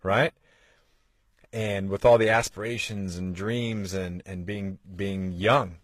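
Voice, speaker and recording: A man's voice: low-pitched, steady tone, slow pace, dry recording. A man in his forties hosting a motivational podcast, urging listeners on with earnest rhetorical questions. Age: 40s. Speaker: podcast host.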